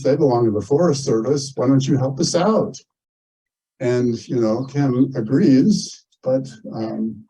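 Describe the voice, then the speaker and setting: Male meeting chair, informal and plain-spoken, dark muted tone with some rasp, speaking to a group on a mic. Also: medium pitch, rough recording. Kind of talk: informal announcements to a community meeting.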